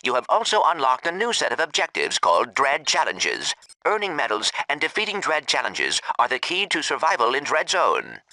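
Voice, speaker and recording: An actor playing an encouraging guide who explains combat upgrades to a contestant in a video game, with loud music over the voice. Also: male voice, medium pitch, wildly swooping pitch, normal speed, heavily acted. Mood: approving. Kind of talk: scripted video game tutorial dialogue.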